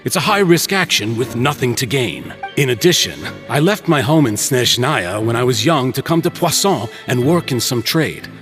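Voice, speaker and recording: A man plays a middle-aged merchant villain in a dramatic game cutscene. He is measured and condescending, feigning fatherly hurt in a lively, swooping delivery, with faint music underneath. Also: medium pitch, natural voice. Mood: self-justifying. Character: smooth-talking older businessman villain, patronizing, feigned fatherly hurt.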